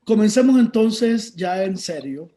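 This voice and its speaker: A man's voice: high voice, expressive, unhurried, warm tone. A man from Táchira, Venezuela, moderating a panel over a video call, conversational and asking long questions.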